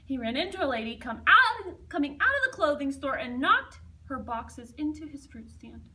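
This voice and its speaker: An expressive woman reads a picture book to young children with lively, swooping intonation. Her voice is high and bright, and she drops into hushed asides between dramatic phrases. She is recorded at some distance from the mic. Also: normal speed, slight background noise. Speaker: storybook reader.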